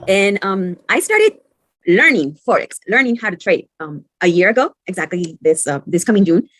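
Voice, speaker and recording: A woman's voice: mid-range voice, expressive, no room sound. Woman from mexico doing her intro on a call, stops and restarts a lot, dry close sound. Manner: halting, repeats words, laughs lightly. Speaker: course participant. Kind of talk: self-introduction on a video call. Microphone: video call.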